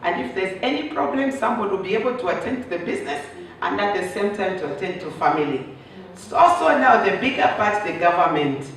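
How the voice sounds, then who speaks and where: A hoarse man giving a conference presentation, explaining step by step and answering his own rhetorical questions. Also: high-pitched, animated, brisk, fairly bright tone. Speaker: workshop presenter. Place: conference hall. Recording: roomy echo, voice from a distance, faint noise.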